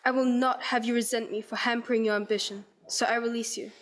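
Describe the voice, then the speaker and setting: A woman's voice: a little high, fairly even intonation, fast, a little hiss or hum, lightly acted. A woman playing a heartbroken but resolute young fiancée in a radio play, speaking earnest, formal period lines. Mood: wounded, resolute. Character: Belle, heartbroken, resolute young fiancée releasing her betrothed.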